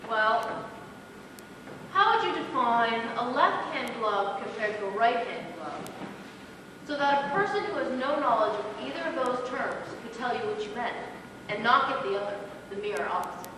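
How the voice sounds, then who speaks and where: A woman on stage playing a cool, authoritative police psychologist, explaining in a clinical, measured way. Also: a little high, sing-song. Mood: instructive. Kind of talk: live amateur stage play acting. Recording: echoey hall from far off, noisy.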